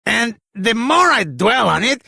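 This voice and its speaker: Very creaky, theatrical monarch. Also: male voice, very high voice, sing-song, a little slow, pushed and bright, no room sound, clean studio sound, heavily acted. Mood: grand gratitude. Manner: formal, florid royal phrasing. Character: grateful pompous eccentric monarch, grandly theatrical. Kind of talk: theatrical video game acting.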